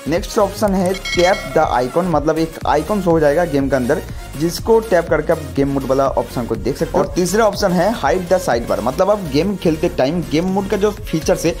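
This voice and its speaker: Higher-pitched man narrating a tech tutorial over music. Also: expressive, normal speed. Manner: step-by-step explaining, addressing viewers directly. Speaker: host of a mobile gaming channel. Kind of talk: scripted tutorial narration of phone features.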